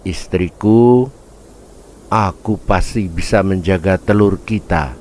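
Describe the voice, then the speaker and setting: A man's voice: deep voice, darkish tone, a little hiss or hum. A man voicing a devoted, weary male penguin husband in a storybook fable, earnest and tender. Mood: tender, reassuring. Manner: earnest, tender, drawn-out delivery.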